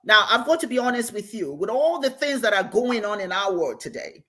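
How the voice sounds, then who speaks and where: A woman's voice: medium pitch, lively intonation, fairly quick, a bit bright. An older woman leads a meditation lesson. She is an Igbo speaker with a mid-range voice. She speaks earnestly and repeats her main points for emphasis. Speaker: host and spiritual teacher. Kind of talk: livestream teaching on meditation.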